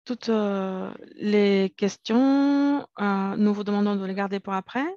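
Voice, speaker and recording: A woman with a cold, interpreting live into French for a webinar, halting with frequent hesitations. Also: medium pitch, warm tone, no room sound, muffled recording. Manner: halting, many filler hesitations. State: has a cold. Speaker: simultaneous interpreter.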